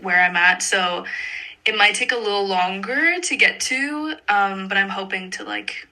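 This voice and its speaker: A woman's voice: medium pitch, a little slow, bright, forceful tone, low-quality recording. A young woman, a singer being interviewed, chatting informally and peppering her talk with "like". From Montreal, Canada. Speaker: singer-songwriter interview guest. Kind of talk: casual interview chat.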